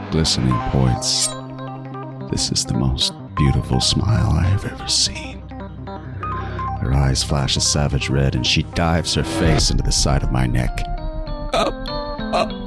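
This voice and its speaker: A man's voice: extremely low pitch, exaggerated voice. A man playing a crude, sardonic serial killer who narrates his own hunt in a horror audio drama, with intense, profane, wisecracking delivery. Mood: dazed, awed. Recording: music behind the voice. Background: music.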